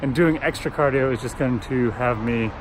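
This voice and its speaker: A young man films a casual video diary on a phone held at arm's length. His voice sits at an ordinary middle pitch and he talks in a loose, self-mocking way. A faint hum of traffic stays in the background. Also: normal speed. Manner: chatty, self-deprecating, laughs at himself. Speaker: vlogger.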